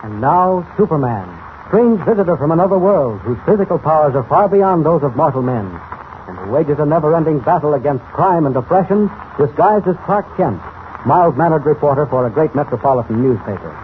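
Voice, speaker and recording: A man's voice: on the high side, lively intonation, a little fast, slight background noise, lightly acted. A man narrating a 1940s radio serial in a formal, suspenseful, dramatic style, on a tinny old recording. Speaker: announcer, narrator.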